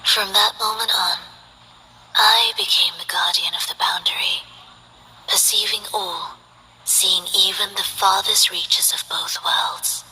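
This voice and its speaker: A woman playing a soft-spoken, detached and tragic guardian of the underworld in a video game, with a breathy, measured delivery. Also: mid-range voice, steady tone, a little hiss or hum, poor audio. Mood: solemn, mystical. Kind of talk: scripted video game character acting.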